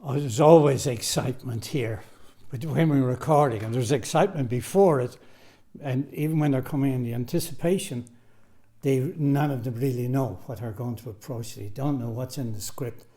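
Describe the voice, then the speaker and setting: A man's voice: medium pitch, normal speed, mellow. A man, a radio drama director, answering interview questions earnestly in a recording studio.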